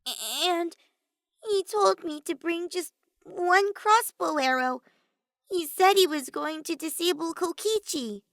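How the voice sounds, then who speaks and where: A woman voicing a small, timid teenage girl mage in a story, halting and nervous. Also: fairly bright tone, no echo, clean studio sound, full character voice. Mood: defensive, stiff. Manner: squeaky, stiff, halting.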